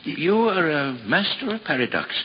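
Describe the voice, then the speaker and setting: A kindly monk in a 1950s radio play, fussing gently over his friends. His voice rides high and swings with lively pitch. The broadcast is muffled and carries a faint hiss. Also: moderate pace. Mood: fond. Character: kindly gentle male monk, Father Pico. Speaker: priest. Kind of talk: 1950s radio drama acting.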